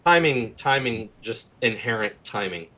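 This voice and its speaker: A man's voice: mid-range voice, animated, measured pace, bright, forceful tone. A man chatting casually as a guest in an interview. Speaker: guest actor and producer. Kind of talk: casual chat.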